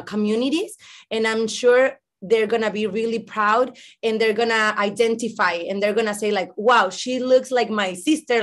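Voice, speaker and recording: Mexican lady, native spanish speaker, highish voice, animated heartfelt interview answers from home. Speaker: actress, interview guest. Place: small furnished room at home, video call.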